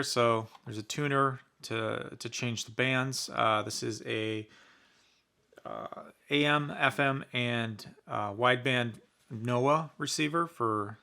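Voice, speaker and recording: Bright-voiced man reviewing a product casually. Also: mid-range voice, creaky. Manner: casual, conversational. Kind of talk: casual unboxing commentary. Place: indoors in a basement.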